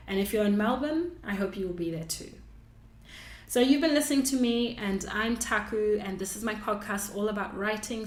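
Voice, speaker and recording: A woman hosting a podcast, talking casually and conversationally to her listeners. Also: medium pitch. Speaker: podcast host. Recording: echoey room.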